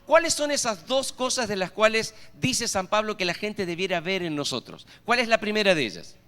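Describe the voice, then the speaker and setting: A man's voice: sits high, animated, moderate pace, bright, forceful tone, smooth. A man with an Argentine accent preaching a sermon as pastor, often putting rhetorical questions to the congregation. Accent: Argentine Spanish. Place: church hall. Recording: reverberant church hall.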